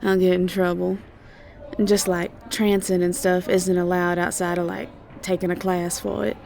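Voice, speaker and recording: A woman's voice: on the low side, little pitch movement, moderate pace, vocal fry, darkish tone. A woman playing a sweet, flustered, ditzy young siren student with a Southern American accent and a hesitant, stammering way of chatting in an audio roleplay. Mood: sweet, friendly. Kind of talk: roleplay audio drama acting.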